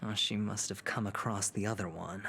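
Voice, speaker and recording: An actor playing a cocky, scheming gambler in a video game, with a wry, teasing and theatrical delivery. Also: male voice, lowish voice, fairly even intonation, moderate pace, vocal fry, bright, forceful tone, slight background noise. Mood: intrigued, calculating. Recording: background music behind dialogue. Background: game music.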